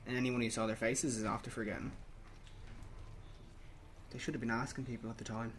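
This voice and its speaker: A man's voice: medium pitch, little pitch movement, a little fast, a little hiss or hum, close to an everyday voice. Man acting a numb, grieving young Irish father. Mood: distracted, fixated. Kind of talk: radio play acting.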